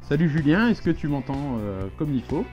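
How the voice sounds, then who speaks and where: A man's voice: a little high, very animated, moderate pace, darkish tone, muffled recording, faint music behind. A man co-presenting in casual, colloquial, unscripted chat. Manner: informal, colloquial, agreeable. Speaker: co-presenter, host's friend.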